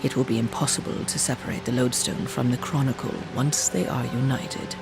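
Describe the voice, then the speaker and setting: Man acting aloof, gravelly fantasy quest giver. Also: medium pitch, fairly even intonation, natural voice. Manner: measured, aloof, formal. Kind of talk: video game cutscene acting. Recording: music behind the voice.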